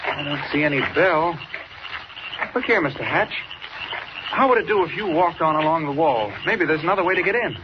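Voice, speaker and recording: Casting: man to play an unassuming newsman for a 1940s radio adventure, lightly pitched, courteous and sensible, noisy old recording. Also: expressive, fairly quick, pauses often, highs cut off, natural voice. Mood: calm. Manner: polite, unassuming, reasonable. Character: mild, polite young newspaper reporter, level-headed.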